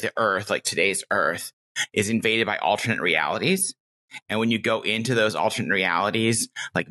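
A woman's voice: very deep voice, pushed and bright. A middle-aged woman chatting casually and enthusiastically as a podcast guest, telling anecdotes. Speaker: podcast guest, game master.

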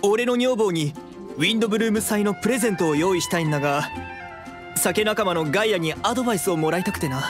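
A man's voice: high-pitched, fast talker, close to an everyday voice. A man voicing a sheepish, apologetic tavern-loving husband in an anime game, hesitant in his delivery. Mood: weary, hesitant. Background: music.

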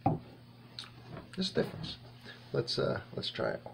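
A man in his fifties giving a casual, halting tasting review to camera, rambling and thinking aloud in a small indoor room. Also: background noise. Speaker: wine reviewer and host.

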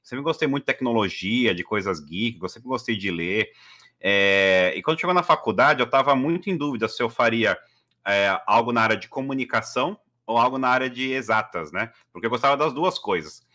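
Bright-voiced São man chatting. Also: medium pitch, expressive, fairly quick. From Brazil. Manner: chatty, many fillers and restarts. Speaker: guest. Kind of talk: casual interview storytelling. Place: living room at home.

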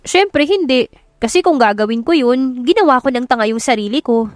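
A woman's voice: high voice, lively intonation, moderate pace, dry recording, clean studio sound, pitched above the performer's own voice. Woman acting a blunt, wounded young woman. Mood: incredulous. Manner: blunt, emotional. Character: heartbroken, guarded young woman, proud and blunt.

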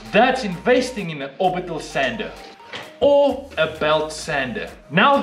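A man's voice: high-pitched, fairly bright tone. A man in his thirties presenting advice to camera as a DIY channel host, with emphatic, swooping delivery in a small workshop. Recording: echoey room with background music.

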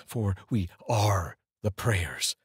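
A middle-aged man speaking in an earnest, deliberate way, like a host reading poetry aloud, up close to a studio microphone. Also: on the low side, lively intonation, husky, no echo. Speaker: host and voice-over reader. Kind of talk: solemn, measured poetry reading and personal address to viewers. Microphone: large studio microphone, up close.

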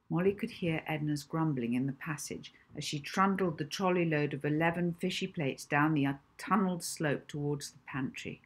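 A woman's voice: low voice, slightly rough. A middle-aged woman reading a children's novel aloud as scripted storybook narration, recorded indoors at home. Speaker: author reading own novel.

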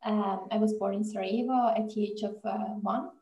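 Woman, 40s, soft mellow tone, relaxed storytelling, roomy video-call sound. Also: medium pitch, brisk. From Bosnia, with years in Mexico and Austria. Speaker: interview guest, professor. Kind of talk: conversational personal storytelling. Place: indoor room on a video call. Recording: echoey room on video call.

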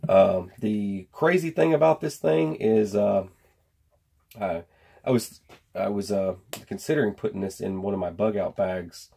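A man's voice: fairly low pitch, a little slow, gravelly, dark tone, breathy edge. A man in his thirties chatting in a folksy, rambling way as he reviews and unboxes gear in a home workshop. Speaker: host of a gear review channel. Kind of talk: casual product unboxing and review chat.

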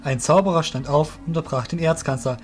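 A man reads scripted narration for a fantasy audio play. His voice sits somewhat high for a male. Music plays behind his storytelling. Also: fairly quick.

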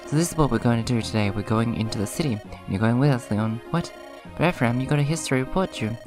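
Hoarse man hosting a gaming video. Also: mid-range voice, quick pace. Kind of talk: casual let's play, reading game dialogue aloud. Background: video game music.

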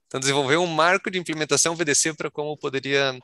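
A man's voice: on the high side, steady tone, racing, pushed and bright, no echo, studio quality. A Brazilian man answering as a panelist on a video call, explaining at length and often repeating words as he searches for them.

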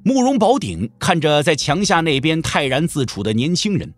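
A man delivers dramatic scripted narration for a wuxia audio drama. His voice sits a little high and moves widely in pitch as he tells the story. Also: very animated, moderate pace.

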